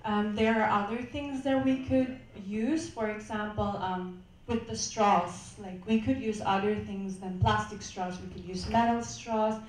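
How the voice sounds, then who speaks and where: Woman in her twenties, pageant contestant, careful halting answers, echoey hall, distant mic, faint room noise. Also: medium pitch, fairly even intonation. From Austria. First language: German. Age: 20s. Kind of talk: answering judges' questions. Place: hotel event hall.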